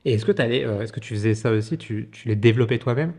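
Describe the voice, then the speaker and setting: A man's voice: mid-range voice, fast talker, a little mellow, big-room sound. A man hosting a podcast interview, talking casually with lots of fillers. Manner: filler-heavy, informal. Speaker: podcast host.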